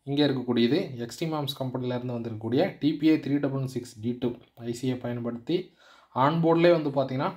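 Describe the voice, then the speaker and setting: A man narrating a product demonstration in an explanatory way, mixing in English technical terms. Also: mid-range voice. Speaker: electronics channel presenter and seller.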